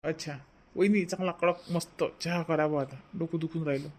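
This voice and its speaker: A woman's voice: low-pitched, steady tone, fairly quick, a little mellow, pitched below the performer's own voice. A woman voicing a casual, demanding young brother-in-law in a family cartoon, on a noisy home recording. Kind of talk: homely family cartoon voice acting.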